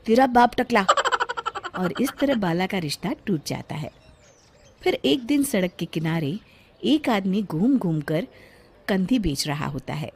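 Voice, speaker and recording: A woman narrating a children's cartoon story in a clear, even storytelling manner. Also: lowish voice, measured pace, a little hiss or hum.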